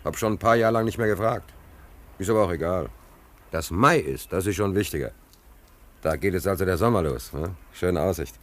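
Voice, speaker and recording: An actor playing a talkative, wry, soft-hearted homeless angler in a German radio play, colloquial and repeating himself. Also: male voice, deep voice, fairly quick, lightly acted. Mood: chatty, wry.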